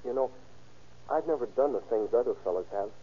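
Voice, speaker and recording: Casting: male actor as timid, jittery young bookkeeper for vintage radio drama, boyish, husky, slightly dull sound. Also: medium pitch, a little fast, close to an everyday voice. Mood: excited, earnest. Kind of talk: 1930s radio drama acting. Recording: muffled old radio recording.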